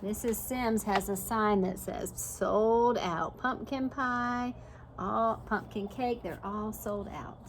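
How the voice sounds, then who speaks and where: A woman's voice: medium pitch, fairly even intonation, takes it slowly, fairly bright tone, slight background noise. A woman preschool teacher reading a picture book aloud and stopping to explain it simply for young children. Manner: simple explanatory asides, dramatized story reading.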